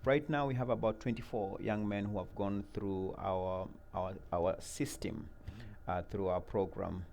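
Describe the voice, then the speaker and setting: A man's voice: lowish voice, steady tone, gravelly, darkish tone, slight background noise. Earnest man. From Uganda. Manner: earnest, measured, many fillers and repeated words. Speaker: mentoring program leader. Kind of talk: interview answers.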